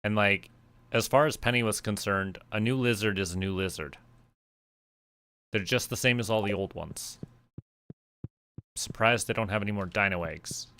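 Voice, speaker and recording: A man's voice: lowish voice, moderate pace, pauses often, bright, forceful tone, smooth. A man from Canada chatting casually as a game streamer, thinking aloud in a playful way. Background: music.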